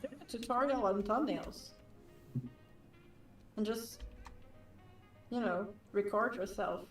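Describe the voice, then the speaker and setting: A woman artist whose first language is not English, chatting and teasing in a relaxed way on a stream. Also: mid-range voice, takes it slowly, reverberant, recorded from a distance, faint music behind. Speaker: artist, co-host. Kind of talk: casual chat on a livestream.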